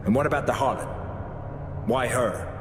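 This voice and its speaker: An actor playing a stern, devout inquisitor in a video game, speaking in a measured, formal, accusing way. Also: male voice, mid-range voice, expressive, background noise, natural voice. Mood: probing. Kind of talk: dramatic video game acting. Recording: echoey hall reverb, music behind.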